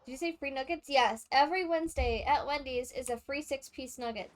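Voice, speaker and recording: A woman's voice: sits high, fairly quick. An American woman chatting casually with livestream viewers in slangy everyday talk while she plays a game. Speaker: game streamer.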